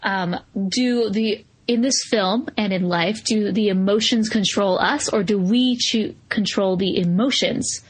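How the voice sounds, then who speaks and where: A woman's voice: medium pitch, measured pace, few pauses, slightly rough, poor audio. A woman podcast co-host in a casual analytical discussion, explaining her opinions reflectively. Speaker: podcast co-host reviewing films.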